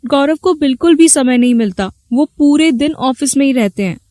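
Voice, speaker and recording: A woman's voice: high voice, lively intonation, moderate pace, mellow, no room sound, clean studio sound. A woman playing a lonely, affectionate young wife in a dramatized story. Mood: lonely, upset.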